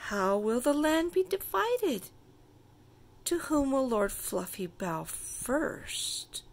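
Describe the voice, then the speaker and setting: A woman's voice: sits high, very animated, slow pace, a little hiss or hum, voice pushed up, full character voice. A woman voicing a bossy, dramatic little girl princess who makes grand declarations in a children's storybook. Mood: dramatic, worried. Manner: grand, self-important declarations.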